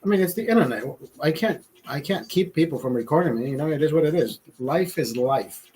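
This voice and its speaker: A man's voice: fairly high pitch, lively intonation, a little fast, slight background noise. A man in his forties talking casually on a livestream, rambling and profane, repeating himself as he drinks beer and gives pep talks. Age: 40s. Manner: loud emphatic motivational rants. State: drinking beer, a bit tipsy.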